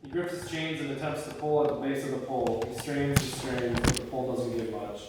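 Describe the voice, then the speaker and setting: A man's voice: mid-range voice, fairly quick. A man leading a script table read, reading stage directions aloud and talking casually. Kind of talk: scripted narration of screenplay, with casual discussion. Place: reverberant room at a group table read. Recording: echoey hall, distant mic, faint noise.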